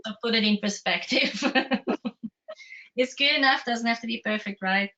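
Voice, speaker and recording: A woman's voice: on the high side, moderate pace, bright, forceful tone. A woman whose first language is not English, chatting casually and self-deprecatingly as an interview host. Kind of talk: casual online conversation. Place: remote video call. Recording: dull lo-fi video-call audio.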